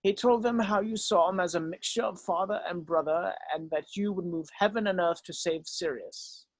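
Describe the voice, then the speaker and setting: A grave old headmaster of a wizard school, played by a man, with a notably high voice and a dry, close sound. He explains things slowly and solemnly, weary and full of gentle regret, as in a dramatic table read. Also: dry recording, poor audio. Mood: solemn, explaining. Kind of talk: dramatic script read-through.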